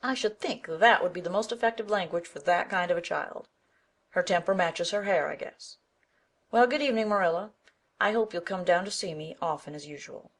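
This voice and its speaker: A woman's voice: on the low side, a little fast. A woman voicing a stout, outspoken older village woman who speaks emphatically and indignantly. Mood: huffy.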